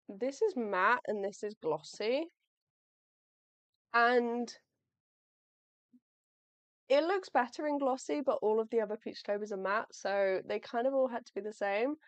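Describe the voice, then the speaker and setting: Chatty young woman, voice going hoarse. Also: on the high side, no room sound. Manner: chatty, thinks aloud, friendly and apologetic. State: losing her voice. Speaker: artist live-streaming shop orders. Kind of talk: casual livestream chat. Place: home workspace, packing orders.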